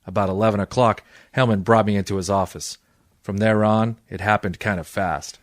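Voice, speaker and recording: A man playing a wisecracking, cynical hard-boiled private detective, sardonic and deadpan in old-time radio style. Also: on the low side, a little fast, dry recording, clean studio sound, lightly acted. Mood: wry, weary narrating.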